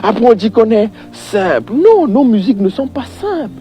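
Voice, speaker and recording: A man's voice: very high-pitched, very animated, normal speed, dark tone, faint music behind. A man giving an informal religious opinion monologue, exasperated and emphatic, repeating points and addressing the listener directly.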